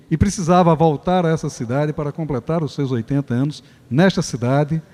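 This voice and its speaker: A Brazilian man giving a formal opening speech as a festival organizer, reflective and lofty, pausing and restarting his phrases. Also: fairly high pitch, mellow. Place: theater stage at a live event. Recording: theater hall reverb.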